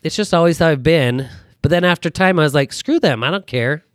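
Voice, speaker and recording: An American man in his thirties chatting casually as a podcast guest, telling personal stories in an animated, self-deprecating way. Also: a little high, brisk. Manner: animated, self-deprecating joking with acted-out quotes. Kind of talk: casual chat, personal storytelling.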